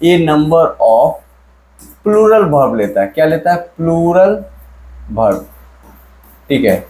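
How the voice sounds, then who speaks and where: Slow, echoey Hindi-speaking grammar teacher. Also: male voice, fairly high pitch, very animated, mellow. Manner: repeats key words, quizzes viewers with questions; stretches out English sentences he reads aloud. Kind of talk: exam-prep video lesson. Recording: echoey room.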